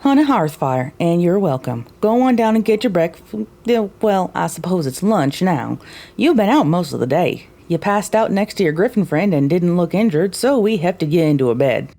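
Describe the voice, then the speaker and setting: A woman playing a brisk, teasing, motherly flophouse mare in an audio drama, folksy and matter-of-fact. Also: on the low side, animated, dark tone. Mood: friendly, brisk.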